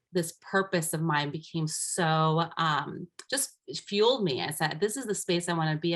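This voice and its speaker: A woman's voice: lowish voice, fairly bright tone. Earnest woman telling her career story. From United States, raised by immigrant parents. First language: Armenian. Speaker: guest, coach. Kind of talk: casual interview talk.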